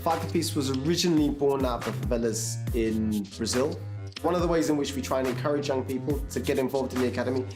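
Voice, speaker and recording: A man of middle pitch who talks quickly and articulately about a youth charity. He speaks in a measured way in an interview. Music plays clearly under his voice. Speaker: youth organisation staff. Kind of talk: documentary interview.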